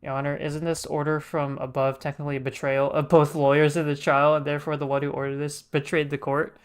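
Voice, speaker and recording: A man's voice: fairly high pitch, completely flat delivery, brisk, clean tone. A man giving casual, slangy, joking gameplay commentary as a streamer. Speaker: game streamer.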